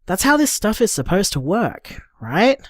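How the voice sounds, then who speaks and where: A woman's voice: mid-range voice, big pitch swings, a little slow, dry recording, clean studio sound. A woman playing a caring, stubborn, sweary partner in an audio drama, talking in an emphatic, coaxing, informal way. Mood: firm, encouraging. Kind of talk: naturalistic audio drama monologue.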